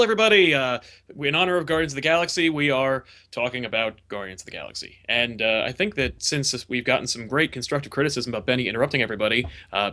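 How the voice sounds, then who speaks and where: A man's voice: medium pitch, fairly bright tone. A man hosting a comedy podcast, jokey and laughing often, with many filler sounds. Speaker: podcast host. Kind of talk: casual podcast chat.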